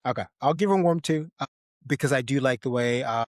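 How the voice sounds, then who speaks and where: A man's voice: medium pitch, fast, dry recording, studio quality. A man co-hosting a podcast, giving a casual film review and animatedly acting out bits as he goes. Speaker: podcast co-host.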